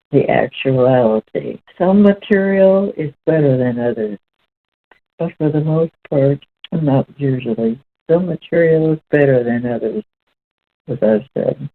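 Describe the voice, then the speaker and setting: A woman reading spiritual text aloud as host, in a measured, deliberate way with halting phrasing. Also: low-pitched, a little hiss or hum. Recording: muffled, very narrow lo-fi sound.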